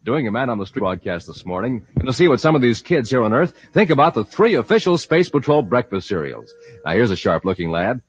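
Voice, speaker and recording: Animated vintage radio announcer. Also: male voice, mid-range voice, a little fast, breathy edge. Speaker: announcer and narrator. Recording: muffled, slightly noisy old radio recording.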